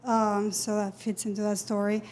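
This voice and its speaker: Measured woman asking a question. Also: medium pitch, flat delivery, darkish tone, slight background noise. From Europe. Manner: measured, with many fillers. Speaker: audience member. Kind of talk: question-and-answer at a talk.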